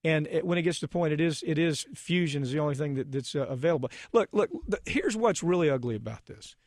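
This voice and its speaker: A man's voice: fairly high pitch, quick pace, a little mellow, dry recording, studio quality. An American man hosting a radio talk show, speaking emphatically and indignantly with rhetorical questions.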